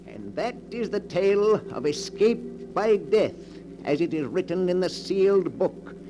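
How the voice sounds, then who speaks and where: An actor playing a sinister, gleeful keeper of a book of dark tales, theatrically, in 1940s radio horror style. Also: male voice, high-pitched, very animated, measured pace, noisy background. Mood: ominous.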